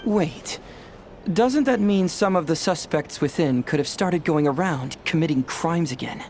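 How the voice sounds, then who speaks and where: A man's voice: on the high side, unhurried, darkish tone, slight background noise. An actor playing a cheerful young male detective in a fantasy game, chatty, theatrical and courteous. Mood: curious, courteous. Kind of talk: animated game voice acting.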